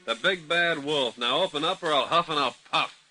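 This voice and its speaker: An actor playing a wisecracking, unsympathetic private-eye type in an old-time radio drama, clipped and mocking. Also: male voice, on the high side, moderate pace, over loud music, close to an everyday voice, rough recording. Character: sardonic, hard-boiled male detective, unsympathetic and wisecracking. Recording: old radio recording, faint noise.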